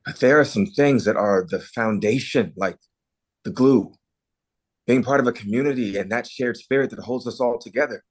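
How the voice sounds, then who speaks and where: A man's voice: mid-range voice, moderate pace, slightly rough, close to an everyday voice. An actor reading an earnest, nostalgic small-town man in a holiday romance table read. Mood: heartfelt.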